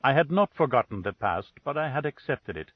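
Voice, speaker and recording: A man reading the lines of a calm, calculating ambassador in a slow, measured way. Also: medium pitch, slightly rough, dry recording, narrow, tinny audio. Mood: calm cold.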